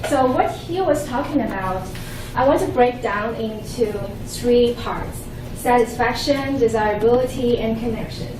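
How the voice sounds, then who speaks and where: Young Chinese-accented woman presenter, earnest and halting, fairly high voice, heard from afar in an echoey room with clear hum. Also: distant mic. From Shanghai, China. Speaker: conference presenter. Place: conference room.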